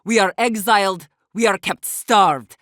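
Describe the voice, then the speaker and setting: A woman's voice: mid-range voice, measured pace, fairly bright tone, no echo, studio quality, lightly acted. An actress playing a defiant, battle-hardened woman who leads exiled wardens in a video game, with a blunt, commanding manner. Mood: hostile, commanding. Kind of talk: video game character dialogue.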